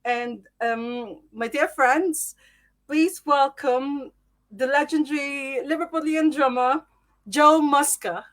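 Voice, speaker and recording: A woman's voice: high voice, fairly even intonation, slow pace, a bit bright. A woman hosting a live podcast, talking nervously with many hesitations and small laughs. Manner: nervous, lots of ums, giggly. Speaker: podcast host.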